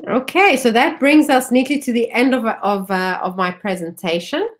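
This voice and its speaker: A woman's voice: a little high, normal speed. A woman representing a school, presenting on a webinar over a video call, often hesitating with fillers and restarts.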